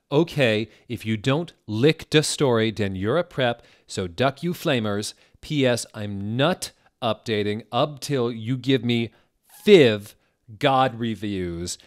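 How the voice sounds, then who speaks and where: A man's voice: mid-range voice, voice pushed up. A man voicing a melodramatic, easily outraged teenage goth girl in an exclamatory fan fiction reading.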